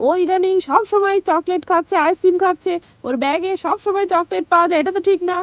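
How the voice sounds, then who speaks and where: A fretful, sensible female character from a children's doll story, put on by a woman. Her voice is extremely high, and she admonishes earnestly and with caution. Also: quick pace, dry recording, dull audio. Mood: scolding.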